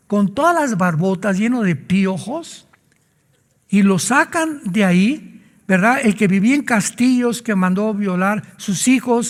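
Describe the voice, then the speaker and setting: A man's voice: high-pitched, in a large hall. A man preaching a sermon as a pastor, emphatic and full of rhetorical questions.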